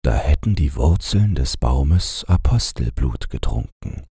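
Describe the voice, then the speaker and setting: Very deep, gravelly male storyteller. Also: sing-song, mellow, dry recording, clean studio sound. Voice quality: gravelly, husky. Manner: measured, unhurried storyteller delivery. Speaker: audiobook narrator. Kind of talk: scripted bedtime fairy-tale narration.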